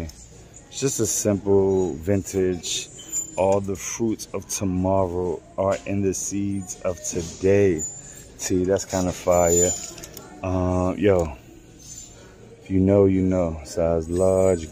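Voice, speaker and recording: Raspy, low-voiced middle-aged man vlogging. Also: flat delivery, slow, mellow. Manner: slangy, informal. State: losing his voice. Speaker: vlogger and clothing reseller. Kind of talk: casual vlog chat. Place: in stores, a parked or moving car, and a room at home.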